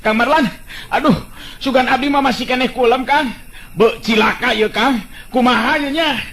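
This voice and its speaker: A man's voice: normal speed, a bit bright, slight background noise. A man voicing a panicked young village man who arrives breathless with bad news. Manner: frantic, high-pitched, stammering.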